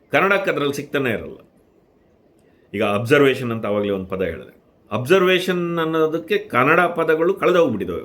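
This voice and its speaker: A man giving instructional tips to viewers, earnest and emphatic on key words, with deliberate pauses. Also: lowish voice. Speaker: host giving language-speaking tips.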